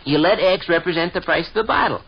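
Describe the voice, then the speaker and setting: An actor playing an earnest, patient American schoolboy nephew in a chummy 1940s radio comedy style. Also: male voice, high-pitched, expressive, quick pace, creaky, slightly rough, heavily acted. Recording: dull vintage 1940s radio recording.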